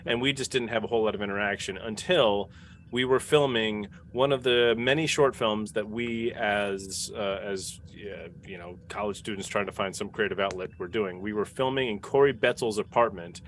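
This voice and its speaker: A man chatting casually and telling stories in a halting, conversational way. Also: on the low side, steady tone, slight background noise. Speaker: podcast cast member. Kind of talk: casual reminiscing chat.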